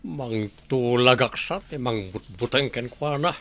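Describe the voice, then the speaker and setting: Calculating ilocano filipino businessman dad, radio drama, gravelly voice. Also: mid-range voice, lively intonation, unhurried, fairly bright tone. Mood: disapproving. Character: calculating wealthy middle-aged father plotting his daughter's marriage.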